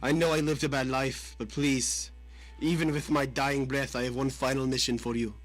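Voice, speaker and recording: A man's voice: medium pitch, level tone, normal speed, faint music behind, lightly acted. A man playing a menacing, melodramatic Russian mob boss in a radio drama, with a Russian accent and broken English. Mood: anguished, grave.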